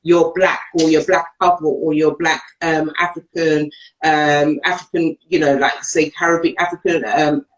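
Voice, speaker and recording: A woman's voice: deep voice, little pitch movement, normal speed, reverberant, dull audio. A woman from England co-hosting a live chat, speaking forthrightly and with emphasis. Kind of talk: live-stream chat show discussion. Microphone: video call.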